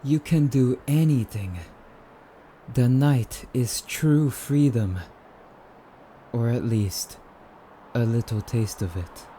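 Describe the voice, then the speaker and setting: A man playing a suave, courtly immortal vampire in an ASMR roleplay, speaking in formal, theatrical, rambling musings with drawn-out phrases. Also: medium pitch, dark tone, a little hiss or hum, lightly acted, low-quality recording. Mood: courtly, rhapsodic.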